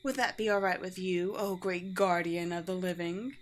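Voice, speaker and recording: A woman playing a courteous, teasing, world-weary vampire queen in a roleplay audio drama, with formal, old-fashioned and gently wry phrasing. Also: lowish voice, steady tone, moderate pace, natural voice. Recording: clear background noise with ambience.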